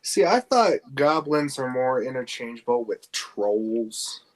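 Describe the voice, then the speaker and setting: A man speaks at an average pitch as a podcast co-host. He chats casually with friends and steers the discussion from one point to the next. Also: big pitch swings, slow pace, poor audio.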